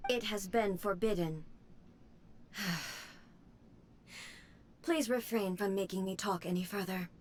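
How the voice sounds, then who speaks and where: A woman voicing a cold, formal female ruler's puppet body who talks in flat, clipped commands. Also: mid-range voice, slow, slight background noise, close to an everyday voice.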